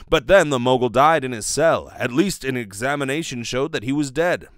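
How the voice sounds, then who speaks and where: Guy doing dramatic radio serial narration, animated, close dry mic. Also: medium pitch, normal speed, no room sound. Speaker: host and performer. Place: small bedroom. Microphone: close studio mic with pop filter.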